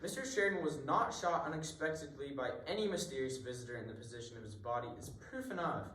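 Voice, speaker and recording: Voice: mid-range voice, expressive, fast, a bit bright, close to an everyday voice. An amateur actor playing a courteous, methodical police inspector in a radio mystery. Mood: calmly accusing. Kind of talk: amateur radio drama acting. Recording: echoey room, distant mic, faint noise.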